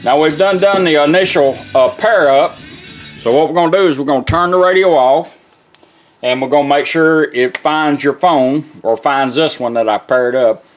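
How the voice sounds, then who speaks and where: A man's voice: on the high side, normal speed, soft background music. A man giving a casual, plain-spoken hands-on product demonstration in an informal way. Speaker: car radio restorer demonstrating product.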